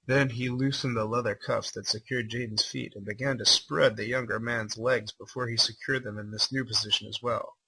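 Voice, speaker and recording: A man's voice: medium pitch, fairly even intonation, normal speed, gravelly, few pauses, bright, forceful tone, slight background noise, low-quality recording. A man reading a story aloud as a livestream host, mixing dramatic narration with irreverent, incredulous commentary. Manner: irreverent, incredulous, swearing asides.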